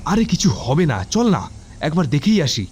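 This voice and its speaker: A man's voice: a little high, very animated, normal speed, darkish tone, a little hiss or hum. An actor playing a young man in an audio drama, hesitant yet stubbornly curious. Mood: eager, insistent. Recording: prominent music behind.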